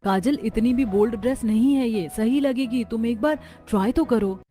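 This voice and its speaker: A woman voicing chatty, friendly young Indian daughters-in-law from a neighbourhood in an expressive, conversational way for a cartoon story. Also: a little high, moderate pace, lightly acted. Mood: friendly, encouraging.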